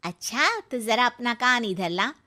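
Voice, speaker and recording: A woman's voice: a little high, lively intonation, a little slow, bright, forceful tone. A chatty older female friend from a cartoon moral story, voiced by a woman. She speaks in a familiar, confiding way, teasing lightly and handing out advice like secrets. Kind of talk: acted dialogue in a Hindi moral story cartoon.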